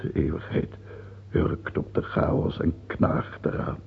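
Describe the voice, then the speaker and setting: An actor playing a dying king in a radio drama, anguished and terrified, in a gravelly voice with a halting, broken delivery. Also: slight background noise, natural voice. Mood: bleak, brooding. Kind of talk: theatrical radio drama monologue. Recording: tinny band-limited old radio play recording.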